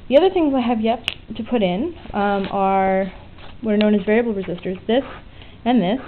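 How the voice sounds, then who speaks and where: Thin, phone-like audio with faint noise carries a woman's voice at an ordinary pitch. She talks informally and frankly, explaining as she goes through an unscripted hands-on tutorial. It sounds like a homemade hobby recording. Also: normal speed. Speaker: DIY electronics hobbyist presenting a tutorial. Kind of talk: casual unscripted hands-on demonstration.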